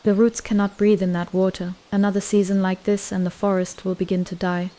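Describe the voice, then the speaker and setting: A woman's voice: mid-range voice, flat delivery, a little fast, warm tone, lightly acted. A woman playing a solemn, worried elf in a fantasy audio drama.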